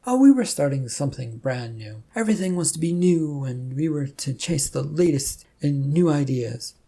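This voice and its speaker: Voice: mid-range voice, lively intonation, normal speed, gravelly, mellow, slightly rough. A storyteller voicing a chatty, cheerful old man who breezily claims to be a prophet in an audiobook. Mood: wistful. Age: older. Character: old George, slow cheerful eccentric self-proclaimed prophet.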